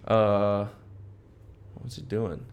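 A young American man with a fairly low voice, co-hosting a podcast. He talks in loose, slangy banter, peppering his sentences with bro and dude like he is chatting with a friend. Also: drawn-out words, gravelly, clean tone, highs cut off. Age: young adult. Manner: slangy, bro-heavy, informal talk. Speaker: podcast co-host.

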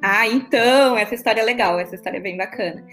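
A chatty woman in her thirties with a strong Porto Alegre gaúcho accent and a fairly high voice. She talks casually as an interview guest, laughing easily, with faint music behind her. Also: fast, fairly bright tone. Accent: Porto Alegre (gaúcho) Portuguese. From Porto Alegre, southern Brazil. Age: 30s. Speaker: guest, court officer and study mentor.

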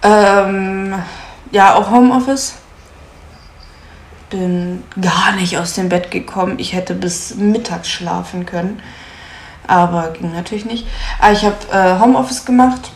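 A woman's voice: medium pitch, unhurried. Chatty young woman in an echoey room. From Munich, Germany. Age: 20s. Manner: chatty, laughs easily, frequent filler sounds. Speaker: podcast co-host. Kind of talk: casual chat. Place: at home in Munich. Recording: echoey room with faint noise.

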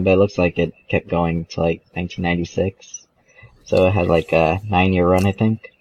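A man with a deep voice, recorded with a dull, lo-fi sound. He co-hosts a podcast in casual chat, thinking aloud and hesitating as he goes. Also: darkish tone, no room sound. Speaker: podcast co-host.

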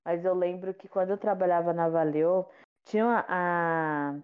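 A woman's voice: fairly low pitch, fairly even intonation, a little slow, clean tone. A woman in her late twenties co-hosting a podcast, chatting casually in a friendly, teasing and reassuring way. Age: late 20s. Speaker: podcast co-host. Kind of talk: casual chat. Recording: dull, lo-fi sound.

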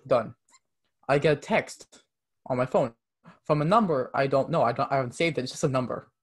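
A man's voice: mid-range voice, fairly quick. A young man from Canada, a podcast guest chatting casually, rambling with many fillers. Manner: rambling, many "like" fillers, acts out quoted texts. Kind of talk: casual interview chat.

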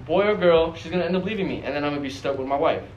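A man's voice: a little high, very fast, highs cut off, crowd noise. A young man chatting casually as a podcast host, blunt and emphatic, with heavy slang and swearing, repeating himself to make a point. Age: young adult. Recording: noticeable background noise.

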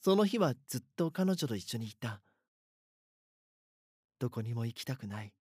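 An actor playing a frightened schoolboy who narrates a horror audio drama in an earnest, dramatic way. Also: male voice, medium pitch, big pitch swings, normal speed, darkish tone, husky, no room sound, studio quality. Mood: panicked. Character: frightened schoolboy haunted by his doppelganger. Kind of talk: first-person scripted horror narration.

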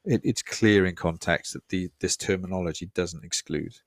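A man's voice: low-pitched, moderate pace, dry recording. A man, a preacher, talking over his sermon in a casual church podcast chat, thoughtful and self-deprecating, often repeating words.

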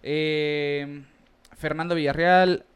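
A chatty man co-hosts a relaxed baseball podcast. His voice sits a touch higher than most men's as he reads out listener comments and tosses in quick asides. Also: clean tone. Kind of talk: casual baseball podcast chat.

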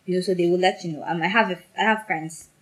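Young woman, from Nigeria, casual chat, animated, emphatic, laughs often. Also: lowish voice, fast.

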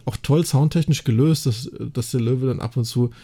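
A man's voice: mid-range voice, moderate pace, warm tone. A man recapping and discussing a story casually as a podcast co-host, chatty and enthusiastic, often adding little tag questions for agreement.

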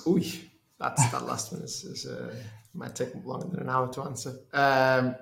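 A man's voice: mid-range voice, level tone, moderate pace, gravelly, roomy sound, a little hiss or hum. A man from Portugal in his thirties, a race engineer answering interview questions in a hesitant way with many restarts. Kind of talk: conversational interview.

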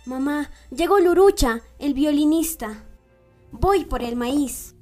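A woman acting a high, fervent young daughter. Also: lively intonation, slow pace, dark tone, soft background music. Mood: proud, fervent. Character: young village daughter, proud, fervent, devoted. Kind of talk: radio drama acting.

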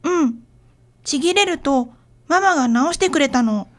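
A woman's voice: sits high, animated, normal speed. A woman playing a sad, shy little primary-school girl in a radio drama. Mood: sad, hesitant.

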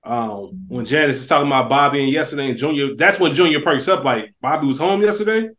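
A man co-hosting a casual podcast, making quick side points about a scene and repeating himself as he goes. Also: medium pitch, a bit bright. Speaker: podcast co-host. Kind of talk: casual TV recap chat.

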